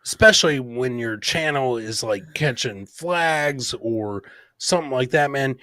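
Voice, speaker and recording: A man's voice: mid-range voice, animated, unhurried, bright, forceful tone, no echo. A man hosting a casual livestream, blunt and emphatic, repeating himself and chummily dropping "man" and "brother". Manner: blunt, profane, emphatic and repetitive. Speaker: podcast host.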